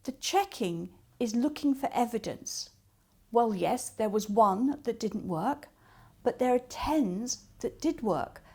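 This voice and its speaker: A woman with a fairly high voice gives an instructional talk as a coach. She explains practical tips one step at a time, voicing short example remarks as she goes. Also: animated, takes it slowly, darkish tone, breathy edge. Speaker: creative coach giving advice.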